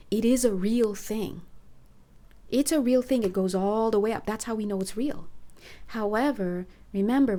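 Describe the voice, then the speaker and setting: A hoarse woman giving a rambling, earnest spiritual talk, putting direct questions to her listeners. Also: mid-range voice, mellow. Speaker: spiritual reader. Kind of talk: casual spiritual talk to camera.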